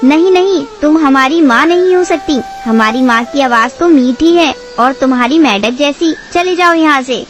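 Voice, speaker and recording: A woman playing an eager little baby bird in a children's cartoon, childlike and excitable. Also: high voice, normal speed, hardly any pauses, lightly acted. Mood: defiant. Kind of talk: Hindi children's cartoon story acting. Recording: music behind the voice.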